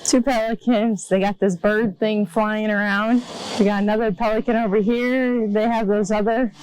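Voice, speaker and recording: A woman's voice: mid-range voice, steady tone, normal speed, darkish tone, a little hiss or hum, low-quality recording. A woman in her fifties chatting casually as she walks around a marina, filming her own vlog. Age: 50s. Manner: chatty, laughs easily. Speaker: vlogger touring a boat yard. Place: outdoors at a marina.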